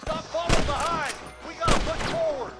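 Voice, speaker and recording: An actor playing a gruff older American lawman in a Western video game, barking orders at his posse in a folksy, commanding manner. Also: very high-pitched, very animated, normal speed. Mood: shouting, urgent. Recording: music and gunfire behind the voice.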